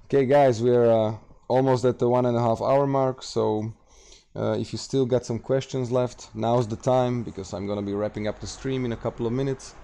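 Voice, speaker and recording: A man from Slovakia with a Slovak accent, hosting a late-night gaming livestream and answering chat questions in a halting, casual way full of fillers. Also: mid-range voice, steady tone, gravelly, dark tone. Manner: halting, many fillers and restarts, reads out chat. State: tired, streaming late at night.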